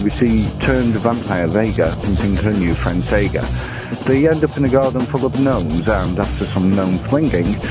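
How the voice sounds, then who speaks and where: A man with a fairly low voice narrates a scripted film review. Loud music plays behind him, and the sound is slightly dull and band-limited.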